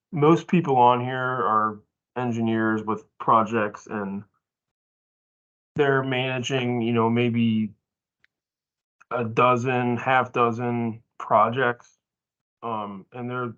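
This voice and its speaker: A man's voice: medium pitch, fairly even intonation, takes it slowly, pauses often, a bit bright. A man presenting informally at a staff training, explaining in short, broken phrases over muffled meeting audio.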